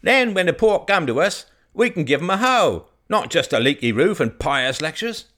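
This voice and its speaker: A man voicing a blunt old rustic farmer who speaks in a folksy, deliberate way. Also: on the high side, sing-song, fairly bright tone, pitched above the performer's own voice. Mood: gruff persuasion.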